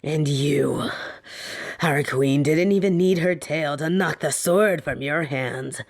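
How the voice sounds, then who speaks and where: A woman playing a sadistic, softly menacing lamia captor in a fantasy audio roleplay, talking with deliberate, teasing bedtime-story delivery. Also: low voice, gravelly, full character voice. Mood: gloating, menacing.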